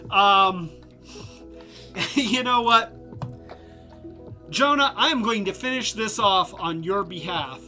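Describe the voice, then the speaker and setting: Casual toy-review streamer, slow unhurried delivery, stuffy congested voice, music playing underneath. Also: mid-range voice. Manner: rambling, many fillers and restarts. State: congested, losing voice; tipsy from drinks.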